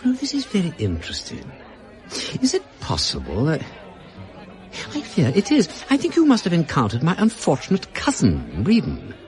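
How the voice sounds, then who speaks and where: Radio drama casting: wry, urbane English aristocrat, upper-class accent, flowery and pompous, hugely animated intonation. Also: male voice, on the high side, normal speed, a faint crowd. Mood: suave. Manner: pompous, flowery, drawling mock-courtesy. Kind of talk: British radio drama acting.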